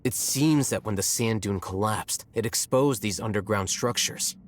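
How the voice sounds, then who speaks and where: An actor playing a composed young scholar in a video game, measured, detached and analytical. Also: male voice, on the low side, a little hiss or hum, lightly acted.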